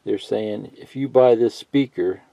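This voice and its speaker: A man giving a casual, unscripted how-to tutorial, thinking out loud in a halting, folksy way and reading bits of instructions aloud. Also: on the low side, gravelly. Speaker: hobbyist instructor. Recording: muffled lo-fi sound, roomy echo.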